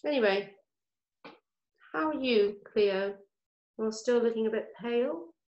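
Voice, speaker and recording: A woman's voice: a little high, unhurried, lo-fi sound, close to an everyday voice. An actress playing a chatty, fussing, irritable middle-aged mother in a radio play, gossipy and exasperated. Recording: roomy, echoing sound.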